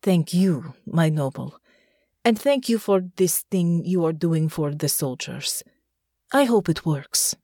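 A woman voicing a blunt, warm young commoner with a foreign accent and broken, plainspoken phrasing. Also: fairly low pitch, lively intonation, slow pace, husky, dry recording, studio quality, heavily acted. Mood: fond.

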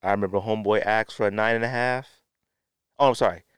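Low-voiced American man telling stories. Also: fast, clean tone, no room sound. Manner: animated storyteller acting out quotes, slangy. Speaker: podcast host. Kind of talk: casual storytelling podcast talk.

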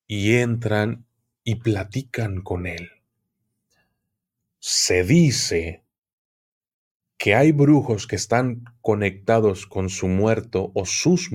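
Slow, deliberate man. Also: fairly low pitch, a little mellow. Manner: deliberate, unhurried explaining with frequent restarts. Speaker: occultism expert guest. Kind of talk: explanatory conversational talk.

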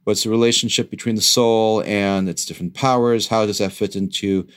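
A man's voice: on the low side, fairly even intonation, brisk, smooth. A man co-hosting a podcast, explaining ideas in an articulate, lecture-like way. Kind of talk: measured explanatory talk.

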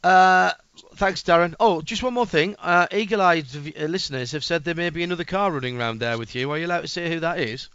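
A radio presenter, brisk and upbeat, with a fairly high, smooth and resonant voice. He runs a live interview. His sound is dry and a little dull. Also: fairly bright tone, no room sound.

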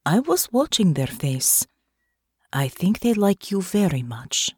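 Gentle, careful maid, soft-spoken, voiced by a woman. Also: on the low side, sing-song, slow pace, breathy edge, no room sound, heavily acted.

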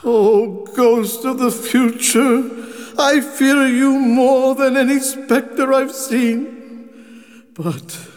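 Humbled old miser: slow, hesitant, full of theatrical dread, radio drama with music and hall reverb. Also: male voice, very high voice, steady tone, exaggerated voice. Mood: fearful, resolute.

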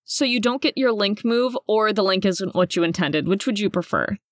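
A woman game master explaining rules plainly and steadily. Her voice is mid-pitched and recorded very dry and close. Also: lively intonation, normal speed, dry recording.

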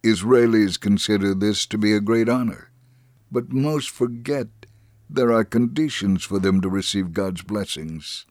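Low, dry male narrator, solemn. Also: measured pace, darkish tone, no echo. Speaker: narrator of a dramatic reading. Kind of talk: scripted dramatic narration. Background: faint music.

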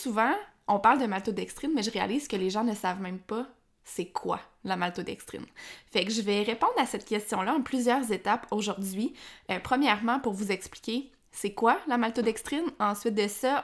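Brief: young Québécois woman, solo podcast host, casual and direct, a little stuffed up. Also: medium pitch. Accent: Québécois French. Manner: chatty, informal, addresses listener directly, frequent "euh" restarts. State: slightly congested, frog in throat.

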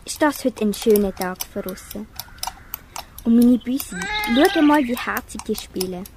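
A chatty farm woman who dotes on her animals , acted by a young girl. The voice is on the high side, with a Swiss German accent, in an amateur radio play with noticeable noise. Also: animated, moderate pace. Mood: content. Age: child or young teen. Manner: fond, chatty. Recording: clear background noise.

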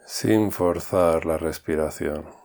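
A man leading a guided meditation, giving calm, unhurried instructions with long pauses. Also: rumbling low.

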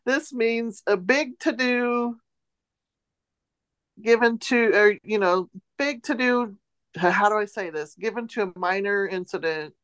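A woman hosts a casual word quiz among podcast co-hosts. She talks at an unhurried pace in a mid-range voice, recorded dry with very little room sound. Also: medium pitch, clean tone, no echo. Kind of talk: casual chat, quizzing co-hosts.

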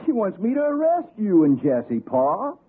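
A man's voice: high voice, huge pitch swings, measured pace, breathy edge, heavily acted. An actor playing a folksy, falsely soothing country lawman with an Appalachian accent and mock-polite manner in a radio drama. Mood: sly, amused. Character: sly backwoods lawman, falsely soothing, kin to hillbillies. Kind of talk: 1960s radio suspense acting. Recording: tinny 1960s radio recording.

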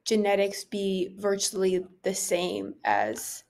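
A woman's voice: medium pitch, very animated, takes it slowly, a little mellow. A young woman co-hosting a podcast in casual chat, asking questions tentatively and searching for her words. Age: young adult.

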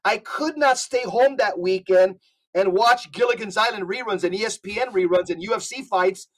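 A man speaking as a podcast guest, urging listeners with rapid lists of instructions. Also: high-pitched, fairly bright tone, poor audio. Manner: urgent, exhorting, rapid lists. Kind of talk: casual podcast talk.

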